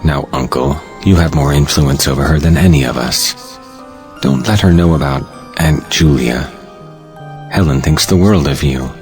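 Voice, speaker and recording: An earnest, proud family man acted by a man speaks with solemn devotion in a stage drama. His voice is extremely deep, with music behind it. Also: normal speed, darkish tone. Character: earnest, proud, devoted husband and father of modest means. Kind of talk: stage play audiobook acting.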